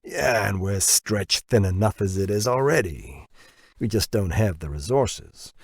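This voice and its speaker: A man's voice: lowish voice, animated, unhurried, breathy edge, no echo, exaggerated voice. A man voicing a blunt, greedy American biotech boss in an audiobook, slangy and confrontational. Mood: irritated, objecting. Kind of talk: audiobook character dialogue.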